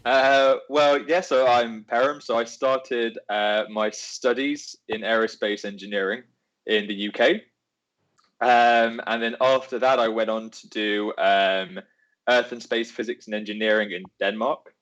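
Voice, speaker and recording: A man's voice: medium pitch, fairly even intonation, measured pace, a bit bright. A man in his twenties chatting casually as a livestream guest, heard over a lo-fi online call. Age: 20s. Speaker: guest, young trainee engineer. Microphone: video call microphone. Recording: dull lo-fi online call audio.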